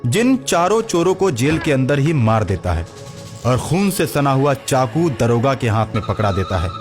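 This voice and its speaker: A man's voice: mid-range voice, very animated, moderate pace. A man narrating a scripted cartoon story as the storyteller. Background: background music.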